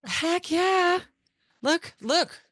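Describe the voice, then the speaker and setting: A woman's voice: very high voice, animated, very slow, a bit bright, no room sound. A woman co-hosting a podcast, chatting casually in a bubbly, exclamatory and gushing way. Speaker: podcast co-host.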